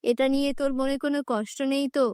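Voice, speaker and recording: A woman voicing a poor, worried middle-aged village mother in a cartoon, with a gentle, coaxing way of talking. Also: high-pitched, fairly even intonation, fast, no echo, clean studio sound, voice pushed down, close to an everyday voice. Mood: gentle, apologetic.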